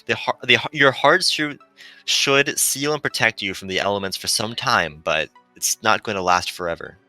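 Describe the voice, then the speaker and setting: A man plays a fading female ship computer from a visual novel, gentle and self-sacrificing. The voice is low but very bright and forceful, earnest and melancholy as it explains. The sound is close and dry. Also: moderate pace, no room sound, soft background music. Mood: grave warning.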